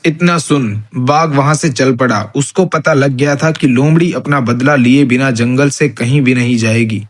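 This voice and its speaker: A man's voice: mid-range voice, moderate pace. A man narrating an animal story for children as its storyteller.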